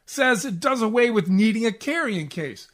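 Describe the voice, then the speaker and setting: A man's voice: sits high, expressive, normal speed. A man playing a grumpy, exasperated salesman husband in a 1940s-style radio comedy, grumbling in a curt, sarcastic way. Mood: weary complaining. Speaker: community theatre actor.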